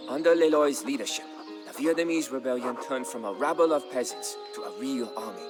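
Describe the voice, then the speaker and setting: A man reading a scripted, dramatic historical narration in a measured, solemn storytelling manner. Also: medium pitch. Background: background music.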